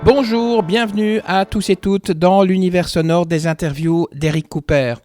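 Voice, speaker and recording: A man's voice: sits high, steady tone, moderate pace, over music. A man, presenting a podcast and putting questions to a guest in a scripted style. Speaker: podcast host and interviewer.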